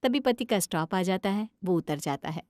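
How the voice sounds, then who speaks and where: A woman's voice: mid-range voice, expressive, moderate pace, a little mellow, no room sound, studio quality. A woman storyteller giving dramatic narration for a cartoon story.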